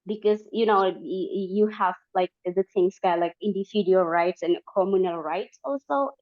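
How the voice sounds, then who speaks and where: A woman from Borneo with an Indonesian accent, speaking English as a second language, talking in a halting way with many fillers and restarts as a community organizer. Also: mid-range voice. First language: Dayak language. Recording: dull, lo-fi.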